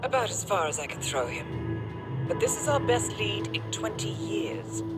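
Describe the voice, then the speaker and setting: A woman playing a poised, dryly witty intelligence handler in a video game, composed, wry and crisp. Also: medium pitch, big pitch swings, moderate pace, natural voice. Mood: earnest, resolved. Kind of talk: cinematic video game acting. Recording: loud music behind the voice.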